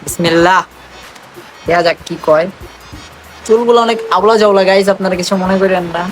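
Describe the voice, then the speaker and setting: A young Bangladeshi man talks at a quick clip in a casual vlog style. His wording is slangy and teasing, and music plays faintly behind him. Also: sits high. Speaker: vlogger making prank calls. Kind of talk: casual vlog chat to viewers and on calls.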